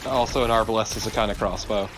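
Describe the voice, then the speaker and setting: A man's voice: fairly low pitch, steady tone, fast. A man adding casual, matter-of-fact, informative remarks as a co-commentator on a video game. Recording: clear background noise behind voice.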